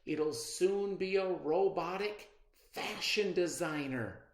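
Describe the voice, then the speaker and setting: A man voicing an earnest, excitable young girl inventor in a storybook, speaking expressively and emphatically. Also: sits high, slow, roomy sound, pitched above the performer's own voice. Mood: eager.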